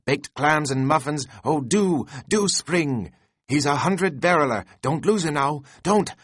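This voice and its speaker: A frantic young whaling mate pleads and squeals at his oarsmen, his voice pitched high and excited, as a man puts him on. It is a storybook reading with a dry, close sound. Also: a little slow, breathy edge, no room sound, full character voice.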